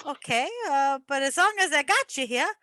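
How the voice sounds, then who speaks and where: A woman playing an eager, chatty pizza parlor worker in a comic radio play, fussy, halting and keen to please. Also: high-pitched, lively intonation, bright, forceful tone, no room sound, clean studio sound. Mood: nervous, fussy.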